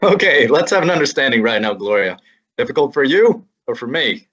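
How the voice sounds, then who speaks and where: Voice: mid-range voice, big pitch swings, a little fast, a bit bright, slightly rough. An actor playing a breezy, joking young male assistant to a detective in a student radio drama. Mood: wisecracking. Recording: lo-fi recording.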